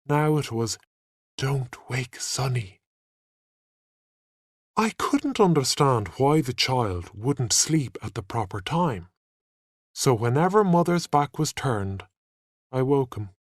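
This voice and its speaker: A man narrates a story in an expressive, scripted style. His pace is slow and deliberate, and his medium-pitched voice rises and falls freely. The sound is dry and close, as in an audiobook. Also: no room sound.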